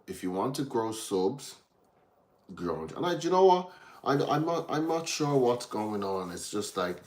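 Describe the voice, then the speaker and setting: Low-voiced Irish man chatting on a livestream. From Ireland, from Dublin. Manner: rambling, distracted, breaking off to greet viewers. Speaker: livestream host. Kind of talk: casual livestream chat with viewers.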